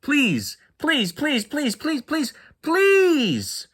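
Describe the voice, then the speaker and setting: A man voicing an excitable, pleading little girl in a storybook, with gushing, emphatic delivery. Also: extremely high pitch, very slow, pitched above the performer's own voice, heavily acted. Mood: excited, pleading. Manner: gushing, begging, emphatic repetition.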